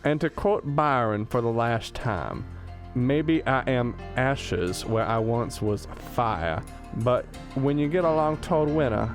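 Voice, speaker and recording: A man's voice: mid-range voice, expressive, slow, hardly any pauses, soft background music. Cranky old professor, acted by a man, folksy grumble. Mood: wistful. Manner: grumbling, folksy, self-deprecating. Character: cranky, rueful old male professor, plain-spoken. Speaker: student radio drama actor. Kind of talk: comedic radio drama acting.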